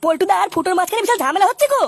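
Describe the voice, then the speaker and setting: A woman voicing a brash, excitable cartoon boy who talks in urgent, foul-mouthed slang. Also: extremely high pitch, rapid-fire. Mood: worried, pleading.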